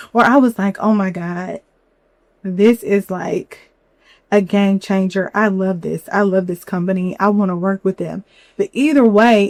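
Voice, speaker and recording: A young woman hosting a solo podcast, a little hoarse from a cold, talking candidly with lots of "you know". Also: medium pitch, no echo. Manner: candid, chatty, many fillers and "you know". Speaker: podcast host. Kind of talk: reflective solo podcast talk.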